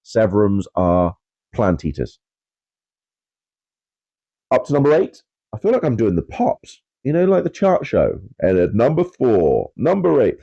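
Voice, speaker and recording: A man with a blocked, congested voice talks casually and loosely, stopping often on fillers and laughing off his own pronunciation. His tone is mellow and dark. The recording sounds a bit dull and lo-fi. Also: lowish voice, wildly swooping pitch, a little slow, vocal fry, pauses often, breathy edge. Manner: rambling, filler-heavy, self-deprecating about pronunciation. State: congested with a cold. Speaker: aquarium channel host. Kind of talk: casual chatty video talk.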